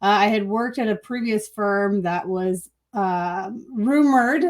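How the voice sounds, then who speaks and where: A woman's voice: medium pitch, slow pace. A woman in her thirties chatting casually as an interview guest over a video call. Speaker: interview guest, marketing agency owner. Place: room at home, on a video call.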